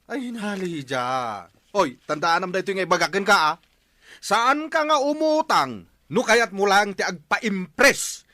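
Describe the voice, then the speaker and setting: A man's voice: sits high, lively intonation, measured pace, bright, forceful tone, no echo, full character voice. A man playing a grumbling, thrifty husband who scolds in exasperation in a comedy audio drama. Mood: exasperated, scolding. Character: grumbling, thrifty husband dreading debt over birthday.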